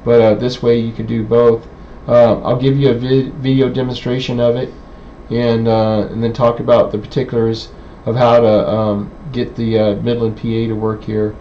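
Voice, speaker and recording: Male presenter for an informal technical walkthrough: subdued, even tone, hesitant pacing with pauses, slightly noisy lo-fi sound. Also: medium pitch. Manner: halting, hesitant delivery with many pauses. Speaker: presenter, radio hobbyist. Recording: dull, lo-fi sound with faint background noise.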